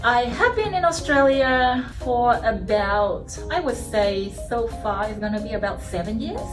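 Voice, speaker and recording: A woman's voice: on the high side, animated, measured pace. A woman with a Vietnamese accent, a native Vietnamese speaker, answering interview questions casually as a language teacher. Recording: roomy, distant mic, music behind.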